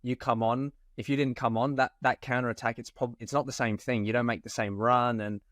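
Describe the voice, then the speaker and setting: Male co-host, casual and talkative, mid pitch, dry close recording. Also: brisk, no echo. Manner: chatty, swears casually, animated asides. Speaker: podcast co-host. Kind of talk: casual podcast chat.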